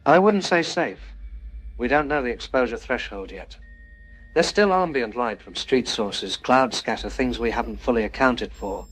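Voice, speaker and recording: A man's voice: mid-range voice, expressive, normal speed, a bit bright, soft background music, poor audio. A man playing a cautious, sober doctor interviewed as an expert in a scripted news broadcast. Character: cautious, sober male doctor advising the public.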